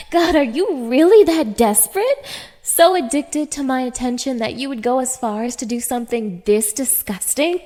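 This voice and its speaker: A woman's voice: high-pitched, expressive, measured pace, warm tone. A woman playing a cruel, haughty young dominatrix in an audio roleplay, speaking straight to the listener with taunting, commanding contempt. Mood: mocking, domineering. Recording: reverberant, echoing room.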